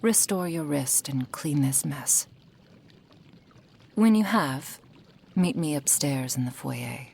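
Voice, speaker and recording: A woman's voice: low-pitched, lively intonation, takes it slowly, gravelly, pauses often, a little mellow, slight background noise, heavily acted. A woman performing an ASMR roleplay as a menacing, possessive fox-spirit witch, cruelly affectionate and condescending, often dropping into whispers. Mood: evasive, commanding.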